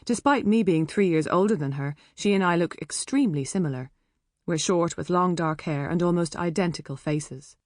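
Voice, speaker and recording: A woman's voice: sits low, a little mellow, dry recording, clean studio sound. A woman with an Irish accent narrating a first-person novel in a chatty, wry way. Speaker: audiobook narrator.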